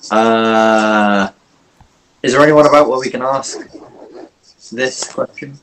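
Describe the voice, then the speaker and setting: A man's voice: medium pitch, takes it slowly, a bit bright, slight background noise, rough recording. A man playing in a tabletop game, chatting casually and often stammering and repeating words. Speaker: player.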